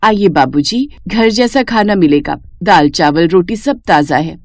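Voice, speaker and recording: A caring village mother in her forties, as a woman storyteller plays her, humble and gentle in a cartoon moral story. Her pitch moves expressively, and the sound is close and dry. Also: lively intonation, measured pace, no echo. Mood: warm, humble. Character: humble, caring forty-year-old village mother running a dhaba.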